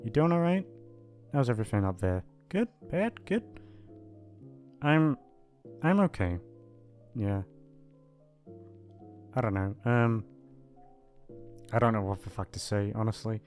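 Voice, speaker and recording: A man's voice: lowish voice, lively intonation, measured pace, pauses often, a little mellow, faint music behind. A man chatting casually to his listeners in a hesitant, self-deprecating, aimless ramble. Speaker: voice actor signing off to listeners. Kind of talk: casual rambling outro chat.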